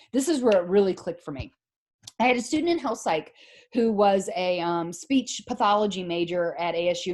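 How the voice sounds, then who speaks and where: A woman's voice: medium pitch, darkish tone. Chatty middle-aged woman lecturing online. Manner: conversational, explains with examples and rhetorical questions. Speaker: psychology professor. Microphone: video call.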